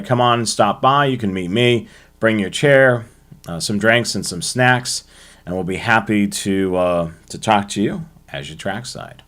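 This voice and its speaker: An American man hosting a hobby show, presenting to viewers in a halting way with frequent ums and uhs. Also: on the low side, a bit bright.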